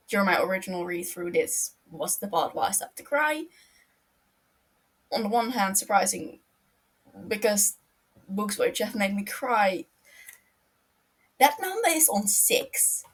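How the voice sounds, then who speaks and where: A woman's voice: mid-range voice, a bit bright. A woman narrating a fan fiction chapter aloud in an earnest reading voice, loosening into a chatty, filler-filled tone when speaking for herself. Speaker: podfic reader.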